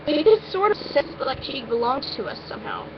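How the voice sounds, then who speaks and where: A woman's voice: high-pitched, wildly swooping pitch, brisk. A woman reading a first-person story aloud in an informal, amateur way, now and then stumbling over her words. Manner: informal, stumbles and breaks off with asides. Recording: muffled, noisy amateur recording.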